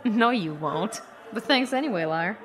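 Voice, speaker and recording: Woman as a slow-speaking weary young academic. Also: medium pitch, expressive, music in the background, lightly acted. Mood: subdued. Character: dedicated, weary young female university teaching assistant. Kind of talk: audio drama acting.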